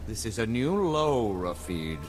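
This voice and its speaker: A man's voice: lowish voice, very animated, a little slow, faint music behind. An actor playing a haughty older nobleman in a video game, formal and full of disdain. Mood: cold disdain. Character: haughty, scornful older nobleman, uncle of feuding family.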